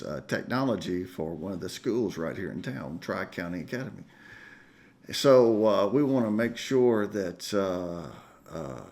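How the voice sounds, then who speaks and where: A pastor speaks to his congregation in a very deep, low-pitched voice. His delivery is casual and folksy, with frequent fillers and pauses as he runs through church news. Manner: folksy, conversational, with many fillers. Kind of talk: church announcements. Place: church sanctuary.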